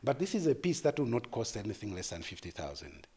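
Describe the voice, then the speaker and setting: A man of about sixty, giving halting unscripted interview answers and repeating words as he thinks. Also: mid-range voice, big pitch swings, a little mellow, slightly rough. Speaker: artist and gallery founder being interviewed.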